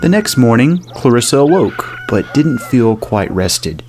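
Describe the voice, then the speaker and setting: A man narrating a scripted gothic horror audio drama in a measured, dramatic delivery. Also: medium pitch, very animated. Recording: music under the voice.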